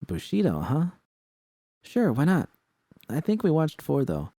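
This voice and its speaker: Man voicing a sardonic, foul-mouthed young woman, higher pitched. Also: huge pitch swings, unhurried, warm tone, dry recording, clean studio sound, full character voice. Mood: casual, playful. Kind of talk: acted audiobook dialogue.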